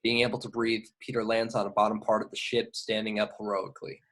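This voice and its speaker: A man's voice: lowish voice, little pitch movement, fast, fairly bright tone. A man narrating scripted action directions in an amateur table read of a film. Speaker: narrator reading stage directions.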